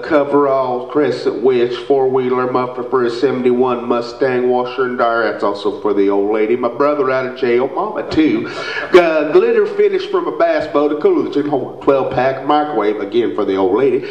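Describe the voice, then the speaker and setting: A man's voice: mid-range voice, moderate pace, lightly acted. A man playing a folksy, matter-of-fact redneck reading out a letter in a live radio comedy. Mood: earnest, matter-of-fact. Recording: echoey, dull theater recording.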